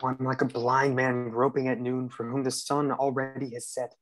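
A man's voice: medium pitch, little pitch movement, moderate pace, fairly bright tone, faint music behind, close to an everyday voice. A young man playing an indecisive, lovesick young master, speaking in an earnest, declamatory way in a play reading. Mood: despairing. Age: young adult. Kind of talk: staged reading of a comic play. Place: room at home. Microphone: earphone headset on a video call.

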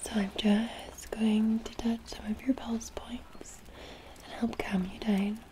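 A young woman from Scotland whispering softly and slowly as a spa masseuse in an ASMR roleplay, close to the mic. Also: a little high, level tone, noisy background. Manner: soft slow whisper, soothing and unhurried. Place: indoors, in front of a woodland spa backdrop. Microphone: close ASMR mic, leaning toward camera.

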